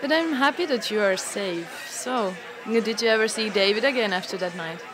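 A woman plays a sympathetic young friend in a radio drama. Her voice has a middle pitch and sounds concerned, with a busy crowd clearly audible behind her. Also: moderate pace, natural voice. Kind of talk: student radio play dialogue.

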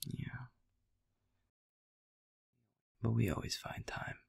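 A man playing a sweet, easygoing young werewolf boyfriend in an intimate audio roleplay, speaking softly and haltingly in a gravelly, breathy voice. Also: no echo, in a whisper, studio quality, natural voice. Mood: tender, upbeat. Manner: soft, breathy, halting and affectionate.

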